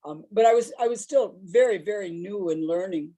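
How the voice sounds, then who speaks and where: A woman's voice: mid-range voice, normal speed, mellow. A woman with a big voice, telling personal stories on a discussion panel in a reflective, rambling way.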